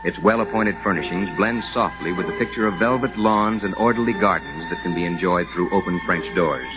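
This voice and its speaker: Low guy narrating old time radio drama, measured and formal, clear music underneath. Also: rough recording. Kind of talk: 1950s radio scripted narration. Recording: 1950s radio recording with music.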